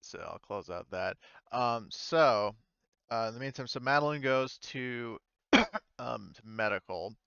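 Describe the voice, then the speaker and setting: Halting, bright-voiced man running a tabletop game. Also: medium pitch, vocal fry, smooth, no room sound, lo-fi sound. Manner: halting, piecemeal delivery. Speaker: game master. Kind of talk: improvised tabletop game narration.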